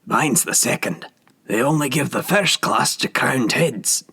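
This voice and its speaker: A man voicing a frail, vain old gentleman with a thin, reedy voice, fussing and repeating himself. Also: medium pitch, a little slow, creaky, slightly rough, voice pushed up, exaggerated voice. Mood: boastful. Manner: anxious, fussy, self-flattering repetition.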